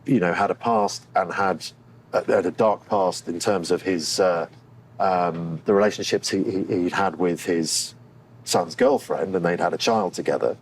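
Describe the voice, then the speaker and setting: A man speaking as an actor in a candid interview, thoughtful and often pausing to find his words. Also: deep voice. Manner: thoughtful and halting, searching for words. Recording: clear background room noise.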